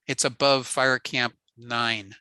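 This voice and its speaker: A man presenting ham radio networking on a video call, relaxed and answering questions with frequent fillers. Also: mid-range voice, takes it slowly, clear, resonant tone, no echo. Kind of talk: casual technical talk on a video call.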